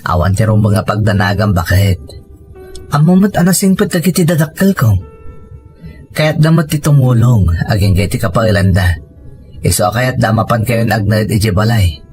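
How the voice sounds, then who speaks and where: A man's voice: lowish voice, lively intonation, moderate pace, darkish tone, close to an everyday voice. A man with an Ilocano Filipino accent playing an earnest, reassuring young husband in an audio drama. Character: earnest young husband leaving to work abroad. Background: background music.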